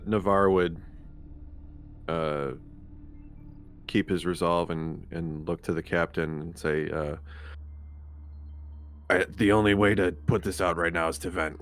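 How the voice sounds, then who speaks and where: A man chatting casually as a player in a tabletop game, in a hesitant, halting way. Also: low voice, fairly bright tone.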